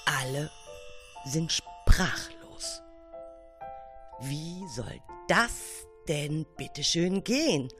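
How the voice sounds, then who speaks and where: A woman narrating a children's story expressively, with dramatic pauses. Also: low-pitched, animated, music in the background.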